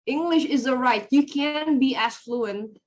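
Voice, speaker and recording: A woman from Indonesia with an Indonesian accent, a native Indonesian speaker, arguing her side firmly as a panelist in a discussion. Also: high-pitched. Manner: assertive, opinionated, quick bursts. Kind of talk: debate-style discussion.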